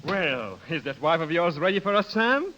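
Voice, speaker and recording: Suave, wildly swooping society gentleman. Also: fairly high pitch, sing-song, moderate pace, a little hiss or hum. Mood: jovial, inviting. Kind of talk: 1930s radio acting.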